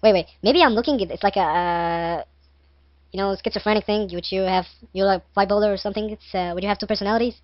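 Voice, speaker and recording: A man's voice: high-pitched, quick pace, dry recording, dull audio. A young man from Egypt with an Egyptian accent, reading a text game aloud and reacting with laughs and chatty asides, recorded on a headset in a bedroom. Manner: chatty, laughs at himself, reads narration with dramatic flair. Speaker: gaming video host.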